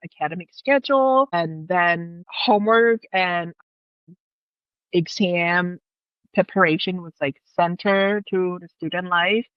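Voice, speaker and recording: A woman's voice: lowish voice, slow pace, clear, resonant tone, dry recording, highs cut off. A woman from Thailand with a Thai accent, telling personal stories casually as an interview guest in her second language.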